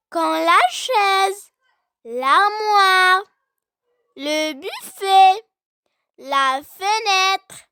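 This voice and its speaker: Very high childlike voice reciting poetry slowly. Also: sharp tone, no room sound. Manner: deliberate, phrase-by-phrase delivery with long pauses. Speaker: poem reciter. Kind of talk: slow recited children's poem for learners.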